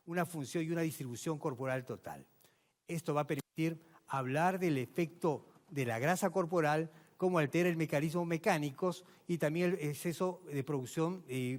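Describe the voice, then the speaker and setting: Doctor guy giving a conference talk, kinda high voice, big echoey hall, keeps asking the crowd questions. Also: a little mellow. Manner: emphatic, rhetorical questions, addresses audience directly. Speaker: physician lecturer. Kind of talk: medical conference lecture. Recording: long reverb of a large hall.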